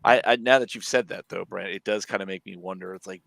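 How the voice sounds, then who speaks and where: A man's voice: low-pitched, steady tone, fast, vocal fry, a bit bright. A man co-hosting a casual panel chat in a wry, joking way. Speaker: panel co-host.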